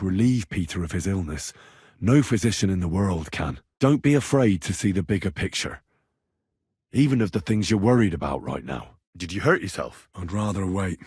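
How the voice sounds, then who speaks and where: A man's voice: fairly low pitch, darkish tone, breathy edge, dry recording, clean studio sound. An actor playing an earnest, reassuring young medieval man tending the sick in a video game, speaking plainly and practically. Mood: solemn prayer, then calm, advising. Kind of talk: video game character dialogue.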